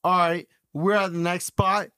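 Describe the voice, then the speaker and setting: A man's voice: high voice, little pitch movement, unhurried, pushed and bright, clean tone, no echo, clean studio sound. A man in his twenties hosting a podcast, rambling and restarting as he chats casually. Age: 20s. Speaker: podcast host. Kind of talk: casual chat.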